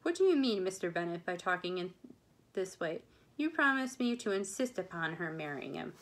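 A woman's voice: fairly low pitch, very animated, normal speed, a bit bright. A woman reading a storybook part as a querulous, self-pitying middle-aged mother, complaining with insistent emphasis. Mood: outraged.

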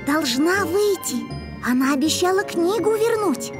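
A woman's voice: very high-pitched, expressive, unhurried, loud music behind the voice. A woman voicing a sweet, polite little boy creature from the moon in a children's cartoon, innocent in manner. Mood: earnest.

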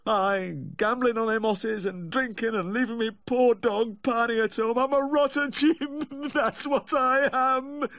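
A man's voice: a little high, sing-song, warm tone, no echo, studio quality, voice pushed up. A man voicing a tearful, drunken little Yorkshire dog lover, slurring and pleading in a sentimental audiobook character voice. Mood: remorseful, maudlin. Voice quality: slurred, whining. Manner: pleading, sentimental, drawn-out wailing.

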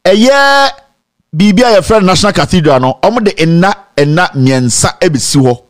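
Young Ghanaian male host, high, lively voice, sarcastic and animated, slips between English and Twi. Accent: Ghanaian, mixing English and Twi. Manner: animated, sarcastic, breaks into laughter and sing-song mockery. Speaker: commentary show host. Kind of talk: animated commentary. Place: indoor studio set.

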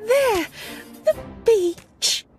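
A woman's voice: extremely high pitch, animated, extremely slow, over music, full character voice. A woman voicing a fussy, chirpy lady friend in a cartoon, with a prim, exclamatory way of talking. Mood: pleased. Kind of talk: cartoon acting.

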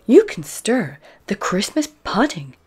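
A woman's voice: medium pitch, sing-song, takes it slowly, a little mellow, husky, soft background music, voice pushed up, full character voice. Woman as a lilting, motherly storybook pig. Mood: encouraging. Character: kindly grown-up female pig, motherly storybook character.

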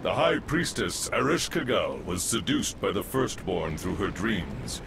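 A solemn ancient priest spirit in a video game cutscene. The voice is gravelly and the delivery is grave, formal oratory, with heavy rumble and noise behind it. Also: male voice, big pitch swings, a little slow, full character voice.

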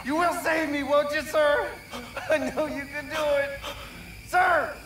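An actor playing a frantic, pleading young army private in a video game. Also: male voice, extremely high pitch, fairly even intonation, measured pace. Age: young adult. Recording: distant, echoey metal hall, loud machinery noise.

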